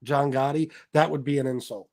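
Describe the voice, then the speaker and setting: A man in his forties hosting a casual interview chat, relaxed and agreeable, reading out viewer questions. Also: medium pitch, a little fast. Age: 40s. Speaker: host.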